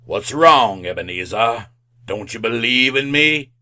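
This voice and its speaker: Slow, forceful, portentous ghost. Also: male voice, mid-range voice, expressive. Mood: solemn, challenging. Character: solemn male ghost of a dead business partner, accusing. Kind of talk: old-time radio drama acting.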